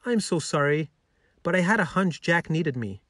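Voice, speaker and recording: A man's voice: fairly high pitch, expressive, moderate pace, fairly bright tone, no echo, studio quality, voice pushed up. A man voicing an eager, kind-hearted young male pet dog in a children's story, earnest and exclamatory.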